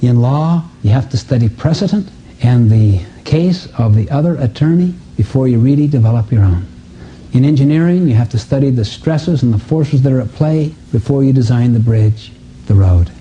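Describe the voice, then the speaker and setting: A man's voice: medium pitch, animated, moderate pace, warm tone, highs cut off, a little hiss or hum. A man giving a motivational seminar talk to a live audience, emphatic, with rhetorical questions and repetition. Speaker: seminar speaker.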